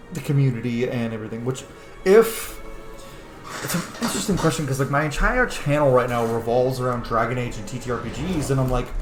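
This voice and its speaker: A man streams a video game, chatting casually and wandering into asides. His voice sits in a roomy, echoey space with clear game music playing behind him. He throws playful exclamations at the characters as he plays. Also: mid-range voice. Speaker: gaming streamer and content creator. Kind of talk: casual let's play commentary. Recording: roomy echo under loud game music.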